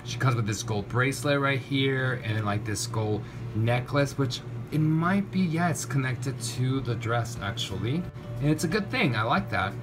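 A man's voice: medium pitch, animated, moderate pace, over music. A man in a casual unboxing review of toys, speaking off camera with gushing enthusiasm. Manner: enthusiastic, gushing, lots of "really, really". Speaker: toy reviewer. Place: indoors, tabletop setup. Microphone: off-camera narration, camera on hands.

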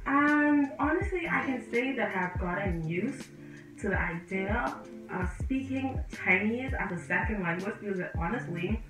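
A woman in her twenties from the Bahamas with a Bahamian accent, chatting to camera in a lively, animated vlog, gesturing as she talks. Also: mid-range voice, measured pace. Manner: lively and animated, gesturing with both hands, chatty and direct to viewers. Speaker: vlogger and medical student. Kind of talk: casual sit-down vlog talking to camera. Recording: echoey room, voice a bit distant, faint music behind.

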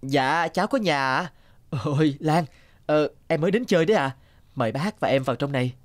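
A man's voice: fairly high pitch, expressive, brisk, no echo, clean studio sound, close to an everyday voice. A man voicing an earnest, anxious young suitor in a cartoon, speaking a little hesitantly. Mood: nervous, polite. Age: young adult. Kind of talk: cartoon voice acting.

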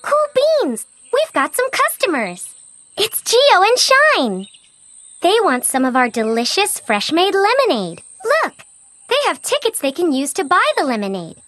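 A woman's voice: extremely high pitch, wildly swooping pitch, measured pace, fairly bright tone, no echo, heavily acted. A woman playing a perky little girl at a lemonade stand in a children's cartoon game, bubbly and teacherly. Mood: excited.

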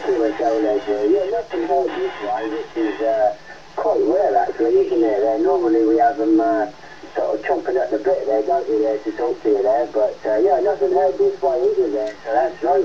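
A man's voice: medium pitch, big pitch swings, moderate pace. An English man chatting casually over CB radio, his voice coming through as a radio transmission. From England. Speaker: CB radio operator. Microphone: heard over a CB radio link. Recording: muffled, distant, noisy CB radio transmission.

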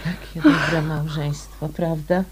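A woman's voice: low-pitched, fairly even intonation, slow, slightly rough, exaggerated voice. A woman in a radio drama playing a kindly amateur sleuth, patiently coaxing and probing. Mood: soothing.

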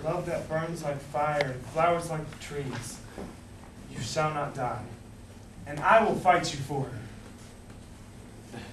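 A man's voice: on the high side, takes it slowly, pauses often. Echoey, melodramatic young poet acted by a man. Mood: ardent. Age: young adult. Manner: declamatory, impassioned. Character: lovelorn young male poet, melodramatic and idealistic. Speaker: amateur stage actor. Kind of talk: theatrical stage acting. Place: indoor theatre stage. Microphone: distant audience camera. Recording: echoey theatre stage from far off, faint noise.

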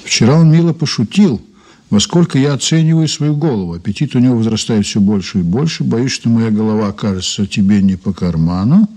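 An actor playing a sceptical investigator in a radio drama, with a measured, ironic, questioning manner. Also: male voice, mid-range voice, mellow, lightly acted. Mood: reading aloud, measured. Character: Leonid Borisovich, sceptical male investigator, probing and wry. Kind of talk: Soviet-era radio drama acting.

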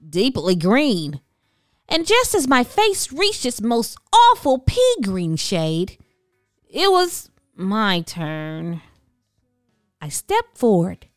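Slow, very animated woman storyteller. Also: sits high, no room sound, studio quality. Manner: theatrical, drawn-out emphasis on key words. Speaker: storybook narrator. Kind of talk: expressive children's read-aloud narration. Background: background music.

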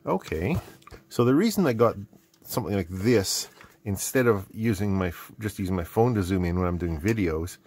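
A man's voice: low voice, darkish tone. A middle-aged man giving casual unboxing commentary, rambling and thinking aloud as he goes. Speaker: host. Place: indoor hobby workbench.

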